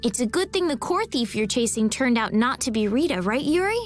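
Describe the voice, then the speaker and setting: Naive polite noble girl in a game, high n lively, sincere, eager. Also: a little fast, faint music behind. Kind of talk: English video game dub acting.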